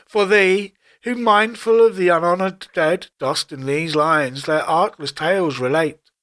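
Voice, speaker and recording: A man reciting classic poetry in a solemn, formal style, with measured and deliberate phrasing. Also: on the high side, a bit bright. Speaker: poetry reader.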